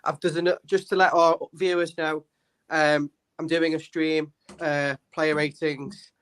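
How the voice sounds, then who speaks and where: A man's voice: a little high, little pitch movement, a little slow, fairly bright tone, smooth. A man, a fan channel host, talking bluntly and heatedly and swearing freely. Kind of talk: announcement and fan rant.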